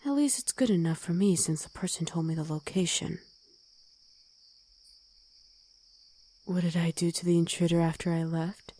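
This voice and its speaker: A woman voicing a gruff, protective wolf girl who talks bluntly in an ASMR roleplay drama. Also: fairly low pitch, fairly quick, warm tone, close to an everyday voice. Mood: weary, matter-of-fact. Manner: blunt, commanding, gruffly teasing.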